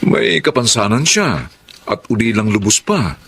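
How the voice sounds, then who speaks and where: A man's voice: fairly low pitch, big pitch swings, measured pace, creaky, fairly bright tone, slight background noise, exaggerated voice. A man playing a callous, skeptical middle-aged Filipino uncle in a radio drama, scoffing and blunt. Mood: scornful, dismissive. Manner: scoffing, emphatic delivery.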